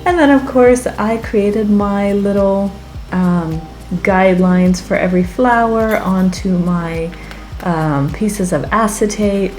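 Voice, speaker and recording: A woman with a slightly raspy voice from being sick, chatting enthusiastically as she narrates a casual craft tutorial. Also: medium pitch, takes it slowly, darkish tone, faint music behind. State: getting over a weekend sickness. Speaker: craft channel host. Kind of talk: casual tutorial narration over process video.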